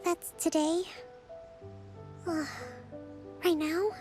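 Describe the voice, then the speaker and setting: A young priestess leader, speaks softly and patiently in a high, light voice. She is composed and gracious, with quiet game music behind her. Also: level tone, very slow, exaggerated voice. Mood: calm. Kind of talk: video game voice acting.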